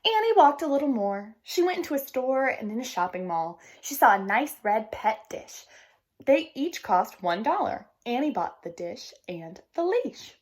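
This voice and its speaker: A woman's voice: a little high, sing-song, moderate pace, a little mellow. A woman reading a picture book aloud expressively as a teacher, speaking directly to young listeners.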